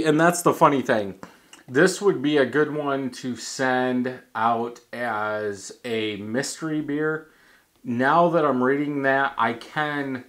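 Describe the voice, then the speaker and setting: Slow-talking man reviewing a beer. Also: mid-range voice, fairly bright tone. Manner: thinking aloud, halting with restarts. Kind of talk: casual tasting review. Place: home bar in front of a banner.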